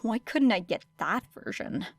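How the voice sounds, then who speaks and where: A woman's voice: a little high, animated, unhurried, dry recording. A woman voicing a dry, sardonic teenage girl student in an audiobook, grudging and grumbly in manner. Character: wry, grumbling teenage girl hero student testing gear. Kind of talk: audiobook character acting.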